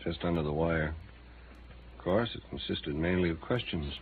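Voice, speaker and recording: An American actor playing a sober, skeptical newspaper reporter in 1950s radio drama style. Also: male voice, sits low, normal speed. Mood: low-key, inquiring. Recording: muffled vintage radio recording, faint noise.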